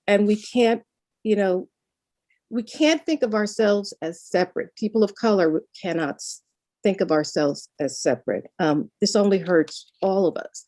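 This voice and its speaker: A woman tells a personal story at a community meeting, earnest and filling pauses with "you know". Her tone is warm and mellow. The sound is dry and close, as on a video call. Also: medium pitch, no room sound. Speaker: student speaker at a town hall.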